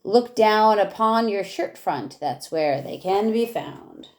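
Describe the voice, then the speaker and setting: A woman teacher reading a rhyming picture book aloud in a measured way, with casual asides. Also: medium pitch, expressive, darkish tone.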